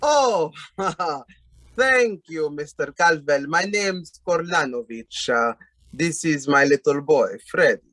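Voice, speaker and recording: A man's voice: on the high side, expressive, slow, fairly bright tone, no echo. A man playing a mild-mannered, courteous newcomer in an old-time radio drama, speaking a little hesitantly. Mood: polite, mild. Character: polite, mild-mannered backwoods newcomer and parent.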